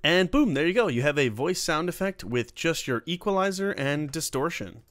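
A man hosting a casual software tutorial, talking informally through each step as he works. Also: fairly high pitch, animated, normal speed, no room sound. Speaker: tutorial host.

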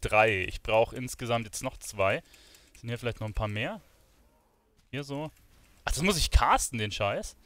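A man's voice: mid-range voice, fairly bright tone, no room sound. A man giving casual let's play commentary, musing aloud in slangy language. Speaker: gaming streamer playing and commenting.

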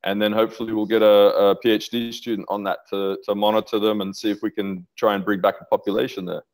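A man's voice: on the low side, level tone, quick pace, low-quality recording. A middle-aged man talking enthusiastically over a video call from a home office, rambling with restarts about his research. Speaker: interview guest, aquaculture researcher. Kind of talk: casual video-call interview. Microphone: headset on video call.

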